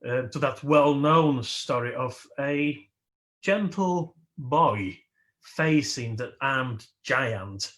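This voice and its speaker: A man whose first language is Polish, leading a worship service as its host and speaking slowly and reflectively, with emphatic rises, over a video call. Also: mid-range voice, fairly bright tone. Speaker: worship leader. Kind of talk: sermon.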